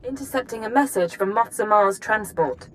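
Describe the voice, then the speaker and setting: A woman playing a brisk, composed intelligence analyst in a video game, speaking precisely and formally. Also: medium pitch, lively intonation, a little hiss or hum, lightly acted, low-quality recording. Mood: composed, serious.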